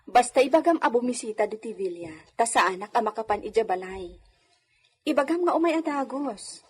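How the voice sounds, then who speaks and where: A woman's voice: on the high side, expressive, fairly quick, breathy edge. A woman playing a kind, humble young wealthy lady, speaking graciously in an Ilocano radio drama. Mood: gently asking.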